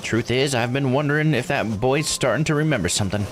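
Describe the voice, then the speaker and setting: A man voicing an earnest, grieving villager from a visual novel, in a measured delivery. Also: medium pitch, voice pushed up, close to an everyday voice. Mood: resolved. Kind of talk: reading visual novel dialogue aloud. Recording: music under the voice.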